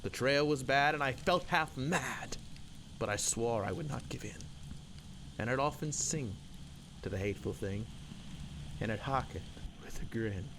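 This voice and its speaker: Male poetry reader, mid-pitched, theatrical and emphatic storytelling in rhythm, with clear background noise. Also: lively intonation, unhurried, pauses often. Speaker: poem reader. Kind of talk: dramatic narrative poetry recitation. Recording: loud background noise and ambience.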